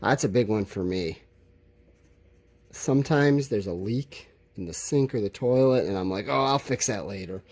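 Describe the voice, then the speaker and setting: A man's voice: fairly low pitch, normal speed, darkish tone. A man teaching a lesson to children, thinking aloud in simple, encouraging explanations. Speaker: elementary school teacher. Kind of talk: informal lesson, thinking aloud while writing answers.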